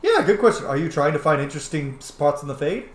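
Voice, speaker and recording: A man's voice: on the high side, big pitch swings, fast talker. A man giving casual, jokey roleplay commentary as he streams a game, swearing freely. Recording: roomy, echoing sound.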